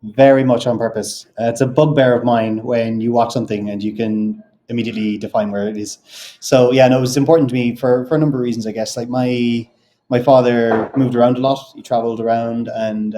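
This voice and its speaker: A man with a neutral Irish accent, a film director answering interview questions in a thoughtful, measured way that often circles back to rephrase. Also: mid-range voice, level tone, mellow. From Ireland.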